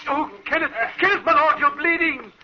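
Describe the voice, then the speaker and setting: An actor playing a devoted, anxious servant in an old-time radio drama, earnest and emotional. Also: male voice, extremely high pitch, fairly even intonation, moderate pace, sharp tone, exaggerated voice. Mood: earnest, distressed. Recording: muffled, hissy old radio recording.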